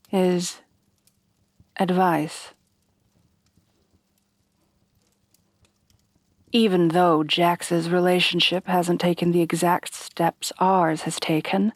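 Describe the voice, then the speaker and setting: A woman playing a refined, tender headless horsewoman bride in a soft-spoken ASMR roleplay, with formal, genteel phrasing. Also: on the low side, no room sound, studio quality. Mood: confiding. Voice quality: soft, breathy at times.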